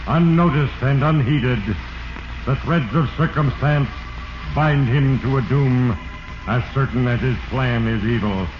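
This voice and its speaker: An actor playing Fate, a sinister narrator, portentous and solemn in a 1940s radio drama, on an echoing, dull, noisy recording. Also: male voice, medium pitch, unhurried, lightly acted. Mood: ominous, solemn. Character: sinister, heavy-handed male Fate narrating a man's doom. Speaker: narrator host.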